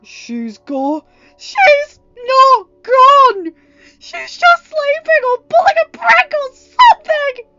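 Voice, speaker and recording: A woman's voice: extremely high pitch, sing-song, slow, bright, forceful tone, dull audio, soft background music, voice pushed up, heavily acted. A woman voicing a grief-stricken young man who screams and sobs, pleading for his sister. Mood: anguished screaming. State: crying.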